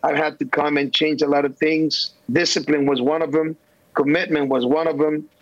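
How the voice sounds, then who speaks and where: A middle-aged man from El Salvador, a national team coach, answering interview questions haltingly with many fillers and restarts. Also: on the high side, level tone, dry recording. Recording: dull, dry remote line.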